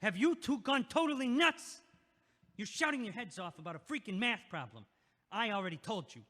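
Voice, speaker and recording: A man's voice: high voice, animated, moderate pace, a bit bright. Guy acting a crabby sarcastic neighbor dude in an audio play, piercing voice, big echoey hall. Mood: irritated, mocking. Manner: brash, sarcastic, grumbling. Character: crude, irritable, mocking male neighbor. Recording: echoey hall with long reverb.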